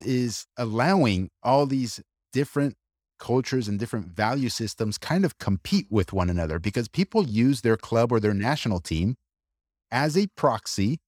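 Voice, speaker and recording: A man co-hosting a casual discussion, arguing his point in an animated way with quick asides and restarts. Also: medium pitch, dry recording, studio quality. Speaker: podcast co-host.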